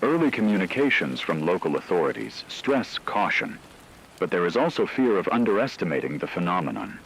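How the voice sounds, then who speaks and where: A man playing a serious, measured news anchor in a scripted emergency radio broadcast drama. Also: low voice, big pitch swings, slight background noise, poor audio. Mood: grave, ominous. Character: grave male radio news anchor reporting a supernatural fog crisis.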